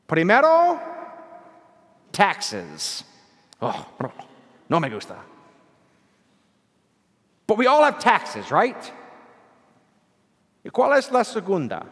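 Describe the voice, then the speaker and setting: A man preaching a homily as a priest, a native English speaker, earnest and unhurried with frequent self-deprecating chuckles, recorded in a church during an evening Mass. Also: very high voice. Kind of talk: bilingual homily. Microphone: church sound system, livestreamed. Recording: long church-hall reverb.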